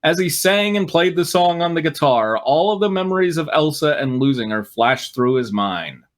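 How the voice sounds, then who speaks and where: A man's voice: on the high side, lively intonation, normal speed. A man reading fan fiction aloud as a comic host, narrating mock-dramatically and breaking into wry asides. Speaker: reader and riffing co-host.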